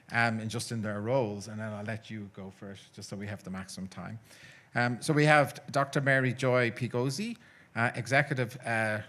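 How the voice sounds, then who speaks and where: A man moderating a conference panel, hurried and hesitant, with many fillers. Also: mid-range voice, a bit bright. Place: conference hall.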